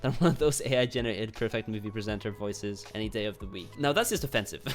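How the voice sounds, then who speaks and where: A man's voice: lowish voice, lively intonation, fairly quick, faint music behind. A young man from Poland with a Polish accent giving informal, sweary YouTube commentary and reading comments aloud. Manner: informal, swearing, chuckles between remarks.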